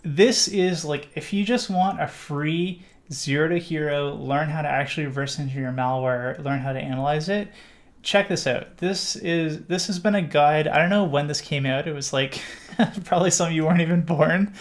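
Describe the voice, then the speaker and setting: A man's voice: fairly high pitch, moderate pace. A middle-aged Canadian man hosting a live stream, answering viewer questions in a rambling casual way with lots of fillers. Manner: rambling with many fillers and restarts. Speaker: livestream host.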